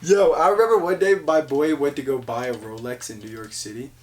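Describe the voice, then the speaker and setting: A young man with a light South Jersey accent chats loosely on a podcast, talking slang and swearing freely. His voice sits at an ordinary middle pitch. He sounds slightly distant from the mic. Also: brisk, slight background noise. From New Jersey. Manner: slangy, swears a lot, animated storytelling. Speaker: podcast host. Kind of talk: casual chat.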